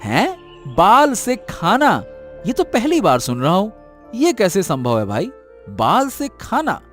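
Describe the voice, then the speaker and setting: A man plays a curious villager in a cartoon tale. His high voice leaps about as he questions everything in disbelief, with music in the background. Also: slow, full character voice. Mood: puzzled, incredulous. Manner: questioning, animated. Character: curious, incredulous village man, eager to investigate. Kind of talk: scripted cartoon story acting.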